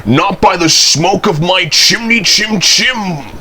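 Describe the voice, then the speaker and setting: A man voicing an earnest, nervous tram engine in a children's story, with a halting, exclamatory way of speaking. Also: sits high, expressive, sharp tone, voice pushed up, exaggerated voice. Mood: defiant.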